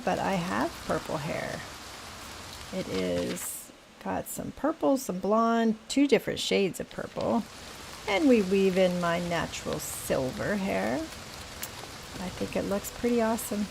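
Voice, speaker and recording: An older woman with a scratchy voice, slowly thinking aloud as she plays a video game. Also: mid-range voice, vocal fry. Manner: thinking aloud, slow and musing. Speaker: gaming channel host. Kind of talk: casual gameplay commentary. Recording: steady rain noise behind. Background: rain.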